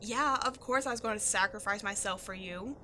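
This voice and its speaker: A woman acting in a roleplay audio drama as a brash young tomboy archaeologist with a soft side, animated, slangy and teasing. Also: medium pitch, a little fast, slight background noise, close to an everyday voice. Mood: bashful, evasive.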